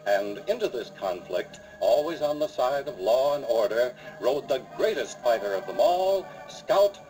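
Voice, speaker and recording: A man's voice: mid-range voice, very animated, measured pace, warm tone, poor audio. A man with an American accent narrating a radio western as a dramatic, declamatory announcer. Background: music.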